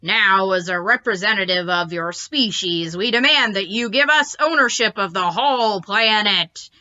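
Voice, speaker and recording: Woman doing bossy, forceful grumpy aliens. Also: mid-range voice, normal speed, lo-fi sound, lightly acted. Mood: demanding. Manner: forceful, declamatory. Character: grumpy, bossy green globby alien invaders.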